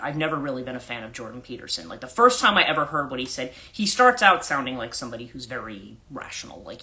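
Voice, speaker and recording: A woman hosting a casual morning livestream, her voice husky and congested from allergies, rambling with fillers and frequent throat clearing. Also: pushed and bright. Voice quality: husky, a register lower than usual. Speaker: life coach hosting a livestream.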